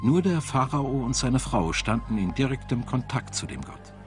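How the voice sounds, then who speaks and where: A man reads scripted documentary narration in a measured, articulate, storytelling way. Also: medium pitch, big pitch swings. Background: background music.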